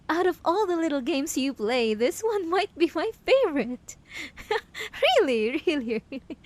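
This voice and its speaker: An obsessive young woman killer behind bars, played by a woman in an audio drama, drawling her words slowly in a slightly raised voice. She taunts in hushed whispers, then snaps into sudden outbursts. The sound is dry, with no room around her. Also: high voice, no room sound. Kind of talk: roleplay audio drama to the listener.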